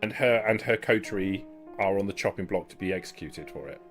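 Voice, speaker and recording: A man's voice: deep voice, a little fast, faint music behind. A man acting as game master, explaining rules and lore in a tabletop roleplaying game.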